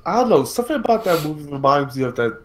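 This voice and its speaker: A man with a slightly high voice chats as a podcast co-host. His delivery is casual and conversational, like informal talk between friends. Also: big pitch swings, fast, a little hiss or hum.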